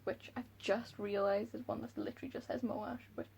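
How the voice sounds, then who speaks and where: A woman's voice: on the high side, fairly even intonation, brisk, vocal fry, darkish tone, slight background noise. A young woman with a Welsh accent chatting to camera about books at home, giggly and self-deprecating. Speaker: book vlogger. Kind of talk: casual chat to camera. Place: room at home by a window.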